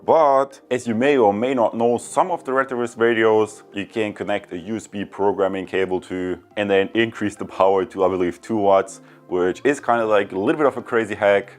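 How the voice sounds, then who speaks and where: Male product reviewer, lowish pitch, chatty and off-the-cuff, music underneath. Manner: rambling, conversational. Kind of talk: casual unscripted product review.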